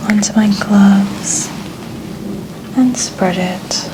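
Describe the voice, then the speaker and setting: A woman speaking in a soft, breathy near-whisper, gently explaining each step of an ASMR medical exam in an unhurried way. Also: medium pitch. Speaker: ASMR host playing a medical examiner. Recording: clear ambient background noise.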